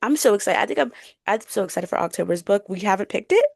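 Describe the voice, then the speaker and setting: A woman's voice: medium pitch, lively intonation, fast, gravelly, darkish tone, no room sound, studio quality. A young woman co-hosting a podcast, chatting casually in loose, slangy everyday speech. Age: young adult. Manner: colloquial, slangy, frequent "like". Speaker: podcast co-host. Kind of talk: casual chat. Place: bedroom.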